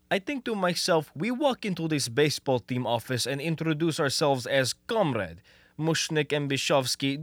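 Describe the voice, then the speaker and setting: A man's voice: fairly high pitch, a bit bright, no echo. A young man acting in a radio comedy as a confident, scheming who coaxes in wordy, stilted foreign phrasing. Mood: self-satisfied, soothing. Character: scheming, confident Russian male defector posing as an American. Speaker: student actor.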